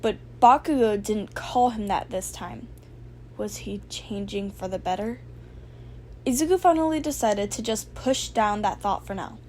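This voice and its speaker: A woman reading fan fiction aloud as an amateur narrator, in an expressive storytelling style. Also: medium pitch, measured pace. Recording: faint background noise.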